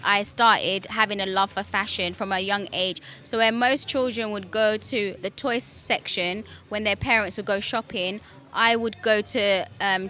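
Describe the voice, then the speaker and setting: A bright, clear young woman with a British accent talks through her own story in a relaxed interview. She is chatty and fills her pauses with ums, and she laughs easily. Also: medium pitch. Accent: British English. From Kenya. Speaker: guest.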